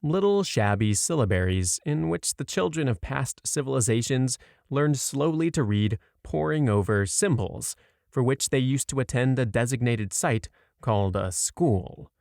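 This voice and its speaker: A man narrating a short story aloud in a measured, clearly read storytelling delivery. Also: mid-range voice, a little mellow, no echo, studio quality.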